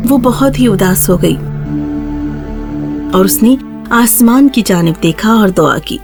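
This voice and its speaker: A woman's voice: medium pitch, animated, over music. A woman narrator reading a scripted children's fairy tale in a storytelling style.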